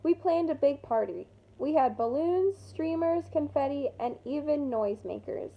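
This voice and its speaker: A woman reading a picture book aloud to children in an expressive way, pausing to point out the pictures. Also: high-pitched, gravelly, dull audio. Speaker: storytime reader for children.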